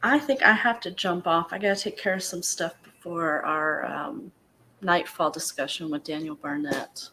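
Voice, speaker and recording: A woman chatting with a reading group on a video call, brisk and friendly. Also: on the low side, bright, forceful tone, rough recording. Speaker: guest reader. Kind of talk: casual chat on a video call. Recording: video call with clear background hiss.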